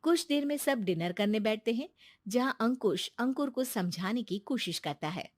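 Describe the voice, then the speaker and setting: Female storyteller, high voice, scripted moral tale, dry clean sound. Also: animated, moderate pace, no room sound. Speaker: narrator.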